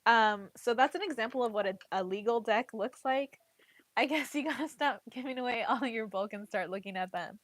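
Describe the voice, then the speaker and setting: A woman's voice: on the high side, a little fast, no room sound. Chatty congested woman, dry close sound. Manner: chatty, heavy use of "like" and "literally". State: congested, getting over a cold. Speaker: trading card game player and content creator. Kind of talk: casual chat.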